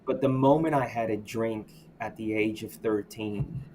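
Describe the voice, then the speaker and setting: Low-voiced man telling his story. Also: warm tone, slight background noise. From United States. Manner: reflective, halting, with many restarts and "you know". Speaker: podcast guest. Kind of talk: candid personal storytelling.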